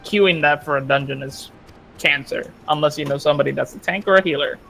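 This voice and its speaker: A man's voice: on the high side, a little fast, creaky, a bit bright, faint music behind. A man talking casually with friends on a gaming voice chat. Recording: noisy voice chat mic.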